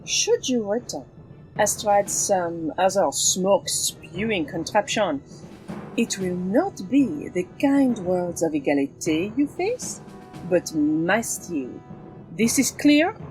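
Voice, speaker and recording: A woman's voice: medium pitch, big pitch swings, slow pace, darkish tone, faint music behind, low-quality recording. An actress playing a bold, teasing swordswoman in an audio drama, with theatrical delivery. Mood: menacing. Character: bold, teasing, sword-wielding French swashbuckling heroine.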